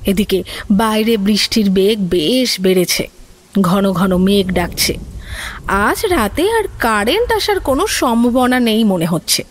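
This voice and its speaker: A woman giving scripted, dramatic narration for an audio story. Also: mid-range voice.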